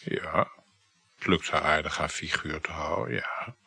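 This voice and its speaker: An insecure married lover in a radio play. His deep, husky voice comes in short, hesitant mumbles, agreeable on the surface but uneasy and jealous. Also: measured pace, pushed and bright, exaggerated voice. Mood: evasive, flustered. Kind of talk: naturalistic radio play acting.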